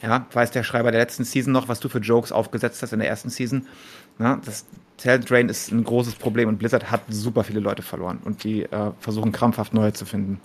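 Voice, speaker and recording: Podcast guy, lowish voice, talks fast, breaks stuff down casually w lots of english jargon. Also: level tone. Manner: heavily mixes English industry jargon into German. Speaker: podcast co-host. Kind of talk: casual analytical discussion.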